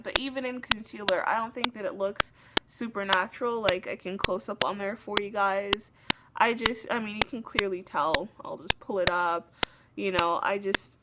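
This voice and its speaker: Young woman, casual vlogger, chatty and rambling, slightly sick, mid pitch, loud background noise. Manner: chatty, many fillers and restarts. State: slightly sick, losing her voice. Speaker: beauty vlogger. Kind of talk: casual rambling hair review.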